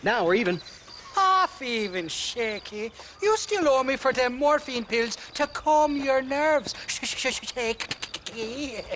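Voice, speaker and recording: Sly Irish game scoundrel, very high male pitch, animated, teasing, noisy outdoor ambience. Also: slow, full character voice. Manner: teasing, sardonic. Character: mocking, sly Irish scoundrel in the Old West. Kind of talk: video game cutscene acting.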